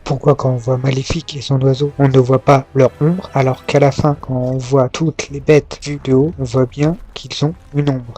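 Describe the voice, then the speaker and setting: A man's voice: mid-range voice, flat delivery, normal speed, hardly any pauses, dark tone. A man doing voice-over commentary on film clips as a host, explaining things and putting questions to viewers. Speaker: host of a film goofs video series. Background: background music.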